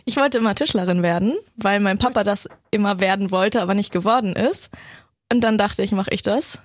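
A woman with a mid-pitched voice hosts an informal group chat. She speaks casually and conversationally, and the sound is dry and close. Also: a little fast, no room sound. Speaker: host asking questions.

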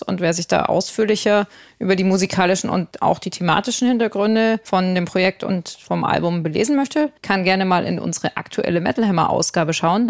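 A woman's voice: on the low side, vocal fry, no echo, clean studio sound. A woman co-hosting a music podcast, presenting reviews and previews of events.

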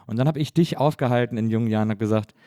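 A man hosting a casual conversation, relaxed and quick to laugh, speaking. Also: medium pitch, dry recording, studio quality. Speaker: interviewer host.

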